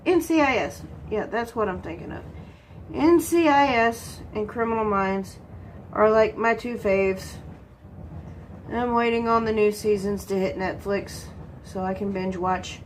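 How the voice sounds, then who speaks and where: A woman's voice: a little high, darkish tone, slight background noise, rough recording. A congested woman from Texas, chatting casually while she works on a craft and answering her own questions. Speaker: crafting vlogger.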